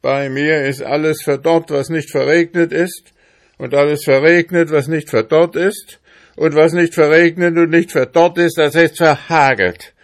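A man's voice: a little high, steady tone, a little slow, voice pushed up. A man voicing a grumpy, stingy male hamster farmer in a storybook, blustering and grumbling indignantly.

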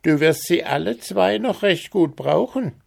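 A man's voice: sits high, lively intonation, a little slow, vocal fry, voice pushed up, full character voice. A man voicing a mysterious old woman who hands out magic gifts with urgent advice.

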